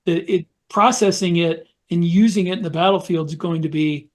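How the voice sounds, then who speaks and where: Higher-pitched man, chatting casually. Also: normal speed. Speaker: podcast co-host and author. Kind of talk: casual discussion.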